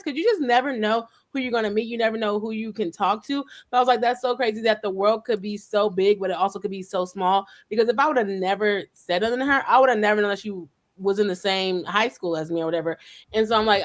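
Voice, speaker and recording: A woman's voice: a little high, fairly bright tone. Chatty woman hosting a group chat. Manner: chatty storyteller, acts out dialogue. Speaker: host.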